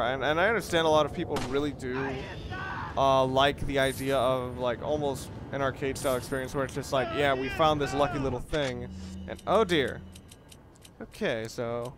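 A man giving casual, rambling commentary on a video game, often repeating and rephrasing himself. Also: medium pitch. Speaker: Let's Play commentator. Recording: noisy, game sounds behind the voice. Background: video game ambience.

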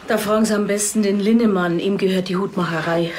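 An actress playing a chatty, haughty, well-off widow in a TV crime comedy. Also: female voice, medium pitch, fairly even intonation, fast talker, background noise, close to an everyday voice. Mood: chatty, disdainful.

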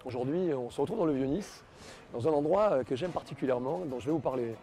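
A man's voice: mid-range voice, fast talker, warm tone, a little hiss or hum. A man, hosting a TV food segment in a small wine bar, chatting in a playful, teasing way on a lapel mic. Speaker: TV food show host. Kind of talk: chatty restaurant presenting.

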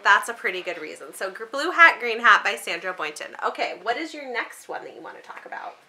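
A woman's voice: medium pitch, sing-song, brisk, bright, forceful tone, slight background noise. A woman in her thirties chatting casually and encouragingly, asking coaxing questions as if to a young child and echoing back answers. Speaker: book vlogger and mother interviewing her young child. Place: small room with bookshelves, indoors.

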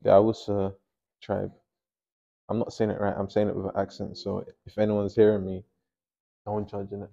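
Young guy from nigeria, gravelly voice, mumbles while painting, dull muffled room sound. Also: lowish voice, completely flat delivery, normal speed, long pauses, warm tone. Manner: laid-back, mumbles to himself while working. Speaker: painter and host. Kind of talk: casual chat while painting. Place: small home art studio.

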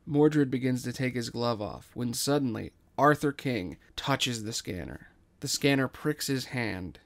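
A man's voice: medium pitch, unhurried, vocal fry. A man reading scripted narration and scene directions as the narrator of an audio drama.

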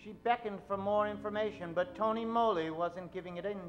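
A man's voice: high-pitched, fairly even intonation, fairly quick, lo-fi sound. A middle-aged man narrating an old-time radio show on stage, theatrical and suspenseful. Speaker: host and narrator. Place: live stage, at a podium. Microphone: standing microphone.

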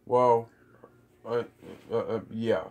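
A puppeteer plays along in a casual home puppet show, speaking very slowly. The replies are short and halting, with words repeated. It is recorded on a phone in a living room. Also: medium pitch, darkish tone. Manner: brief, halting replies with repetitions. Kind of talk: casual homemade puppet play. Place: living room beside a Christmas tree at home. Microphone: phone camera a short way off.

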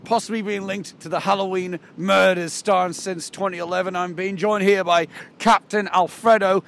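High-voiced creaky detective played by a man. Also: fairly even intonation, fairly bright tone. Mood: awkward questioning. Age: 20s. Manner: halting, repetitive questioning. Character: flustered male police detective investigating murders. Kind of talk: improvised comedy film acting, mock interview. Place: outdoors by a rocky seafront. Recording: noisy outdoor camera audio.

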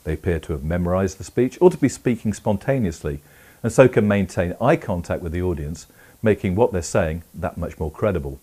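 A man's voice: deep voice, expressive, fairly quick, mellow, breathy edge. A middle-aged man giving a scripted explainer as a presenter. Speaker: presenter for a teleprompter company. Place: indoor room full of camera equipment.

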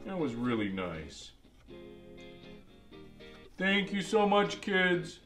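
A man's voice: high-pitched, big pitch swings, drawn-out words, fairly bright tone, pitched above the performer's own voice, heavily acted. A man voicing a jolly old Santa Claus who reads a picture book to kids in a chatty, exclaiming way. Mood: jolly, delighted. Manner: exclaiming, chatty asides between lines. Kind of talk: animated storybook read-aloud. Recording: music behind the voice.

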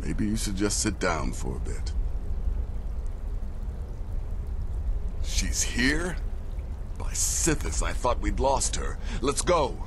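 An actor playing a gruff, wry and loyal assassin in a fantasy video game. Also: male voice, fairly low pitch, sing-song, measured pace, background noise. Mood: soothing, relieved.

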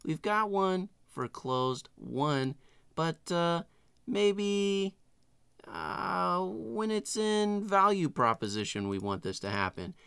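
A middle-aged man hosting a casual screen-share software tutorial in a tongue-in-cheek, playfully exaggerated way. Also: high-pitched, expressive, slow, vocal fry, clean tone, no echo, clean studio sound. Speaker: tutorial host.

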